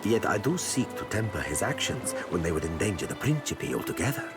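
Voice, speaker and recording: A deep, suave pirate captain. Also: male voice, sing-song, brisk. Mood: disdainful. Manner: formal, elaborate, courteous phrasing. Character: suave, sly male pirate captain, courtly and calculating. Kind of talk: video game character acting. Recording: loud music behind the voice.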